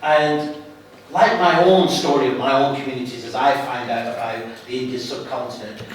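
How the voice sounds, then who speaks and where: A man's voice: medium pitch, fairly even intonation. A middle-aged man with a Lancashire accent giving an earnest public talk to an audience, restarting his sentences often. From England, Lancashire. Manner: earnest, halting with frequent restarts. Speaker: guest speaker. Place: hall at an evening event. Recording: echoey hall from far off, faint hiss.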